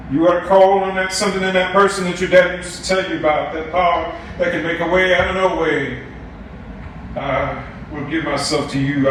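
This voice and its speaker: A man's voice: on the high side, fairly bright tone, slight background noise, close to an everyday voice. A torn civil-rights leader pleads for his family, played by a man with a slightly raised male voice and a passionate urgency. He sounds distant in a very echoey hall, with faint ambience around him. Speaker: actor in a staged reading. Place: stage or theater. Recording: echoey stage from far off.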